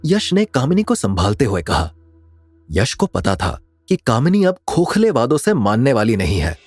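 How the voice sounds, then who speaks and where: A man's voice: mid-range voice, wildly swooping pitch, normal speed, darkish tone, husky, no room sound. A man narrating a scripted audio drama in a dramatic storytelling style.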